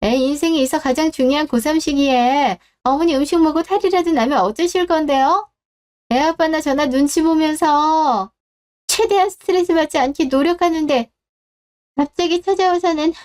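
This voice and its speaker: Woman as brazen daughter-in-law, high-pitched, sarcastic, confrontational, scornful, dramatic story delivery. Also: a little mellow, natural voice. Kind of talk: scripted dramatic story narration.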